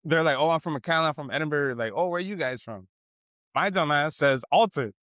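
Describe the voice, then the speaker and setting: A young man from South Texas hosting a podcast in a barbershop, telling stories in slangy, animated casual chat. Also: a little high, a little fast, dry recording, clean studio sound. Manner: animated storytelling, slangy, says "bro" and "man" a lot. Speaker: podcast host.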